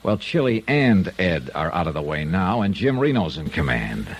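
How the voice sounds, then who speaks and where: An American actor playing a tough, cool investigator in a 1950s radio detective drama, clipped, terse and world-weary. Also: male voice, low-pitched, very animated, moderate pace, a little hiss or hum. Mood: cool, hard. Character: tough, cool insurance investigator, male, hard-boiled. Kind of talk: 1950s detective radio drama acting with first-person narration. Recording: vintage radio drama recording.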